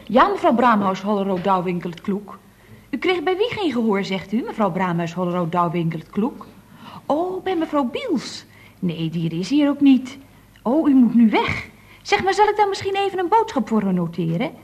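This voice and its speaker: Female secretary, radio comedy: animated intonation, breathy husk, dry questioning manner. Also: on the high side, normal speed. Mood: officious, singsong. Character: chatty, dryly questioning female office secretary. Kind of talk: 1970s Dutch radio comedy acting.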